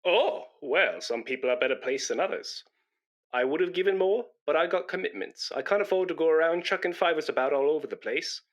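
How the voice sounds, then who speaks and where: Man acting pompous, boastful busybody, animated. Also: fairly high pitch, fairly quick, natural voice. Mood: indignant, needling. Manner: pompous, wheedling, self-important. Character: pompous, boastful, self-righteous male busybody blood donor. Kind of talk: comedy radio play acting.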